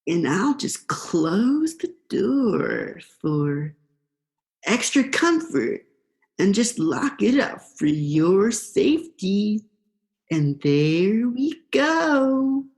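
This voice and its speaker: A woman's voice: medium pitch, very animated, very slow, a little mellow, heavily acted. A young woman in a student radio play, playing a laid-back, vengeful male eel with a casual, slangy drawl. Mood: coaxing. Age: young adult.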